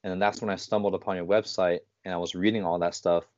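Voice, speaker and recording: Man, 30s, low voice, chatty host, dry muffled sound. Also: no room sound. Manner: animated storytelling, quick bursts. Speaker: podcast host. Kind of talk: casual interview chat.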